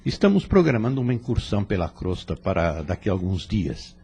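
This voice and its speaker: A Brazilian man playing a calm, kindly spirit instructor with patient authority and a husky voice in an audio drama. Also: low voice, a little fast, full character voice. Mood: warm, pleased.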